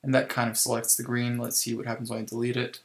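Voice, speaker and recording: A man giving a casual software tutorial step by step, thinking aloud informally as he works, in a creaky, gravelly voice. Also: mid-range voice, monotone, fast. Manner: informal, improvised, thinking aloud while working. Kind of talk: casual screen-recorded tutorial, explaining step by step.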